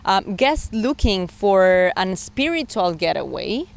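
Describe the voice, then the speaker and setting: A woman's voice: medium pitch, big pitch swings, takes it slowly, gravelly. A woman giving agreeable, explanatory interview answers as a sales director, with phrasing. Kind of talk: interview answers pitching a resort.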